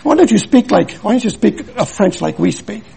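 An older man delivers a sermon with a gravelly, husky voice. He is earnest and emphatic and hammers his points home through repetition and anecdote. His speech rises high and swings widely in pitch. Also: very animated, moderate pace, dark tone. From Milwaukee, USA. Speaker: preacher.

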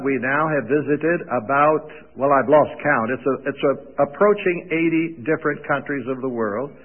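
An older male preacher with a loud, somewhat raised voice opens his sermon with folksy, self-deprecating jokes. The sound is narrow and tinny, like a phone line. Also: a little high. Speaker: visiting preacher and radio Bible teacher. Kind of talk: sermon introduction with humorous stories.

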